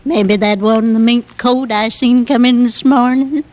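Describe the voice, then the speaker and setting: A woman's voice: a little high, steady tone, unhurried, lightly acted. A woman playing a chatty, familiar American cleaning lady in old radio style, folksy and slangy. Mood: chummy. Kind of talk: 1940s-50s radio comic character acting. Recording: noisy old radio recording.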